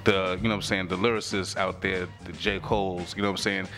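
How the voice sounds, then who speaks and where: Man, middle-aged, low voice, earnest, reflective, handheld mic, music behind. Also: flat delivery, fast talker. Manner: earnest, reflective, frequent "you know what I'm saying". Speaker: rapper, interview guest. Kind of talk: casual interview chat. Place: lounge room on a leather couch. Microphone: handheld mic held by interviewer.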